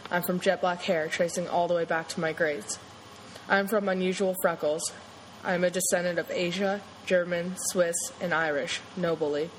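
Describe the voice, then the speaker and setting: A young woman reading her own poem aloud in a steady, earnest way. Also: fairly low pitch, low-quality recording. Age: young adult.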